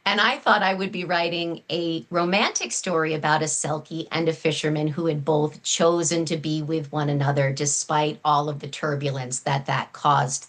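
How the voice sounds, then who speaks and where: A woman's voice: on the low side, a bit bright. A woman speaking as a guest author, explaining earnestly in full, flowing, articulate sentences. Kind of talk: explanatory interview talk.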